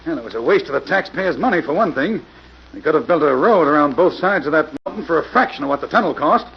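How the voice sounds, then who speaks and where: A man playing a grumpy, impatient old construction engineer with a raspy voice in an old-time radio drama. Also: on the high side, lively intonation, quick pace, slight background noise. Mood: bitter, defensive. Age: older. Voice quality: raspy, creaky. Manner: brusque, irritable, stammering slightly. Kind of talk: 1940s radio acting. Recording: muffled old radio recording.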